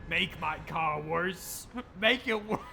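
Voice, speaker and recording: A man's voice: a little high, slow pace, gravelly, exaggerated voice. A man playing a proud, combative rideshare driver who rambles and stammers through his opinions in an improvised audio comedy. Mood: angry, menacing. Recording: very noisy car interior ambience. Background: car and traffic noise.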